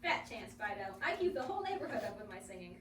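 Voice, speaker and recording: A woman's voice: medium pitch, sing-song, fast talker, fairly bright tone. A teenage girl playing a cross, boastful, snarky old cat in a reader's theater performance. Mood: sassy. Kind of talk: reader's theater script reading. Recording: echoey noisy classroom, mic at a distance.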